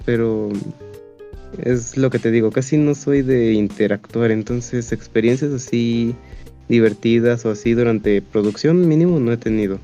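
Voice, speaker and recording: Young man, Mexican accent, mellow timbre, casual interviewee, dull recording, faint background music. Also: medium pitch. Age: young adult. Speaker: interview guest, amateur fandub voice actor.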